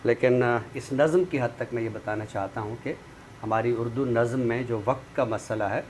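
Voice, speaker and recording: A man's voice: medium pitch, steady tone, moderate pace, a little mellow. A man with a Pakistani accent discussing language and literature as an expert, explaining didactically with examples. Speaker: literary commentator and guest expert on a talk show. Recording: clear background noise.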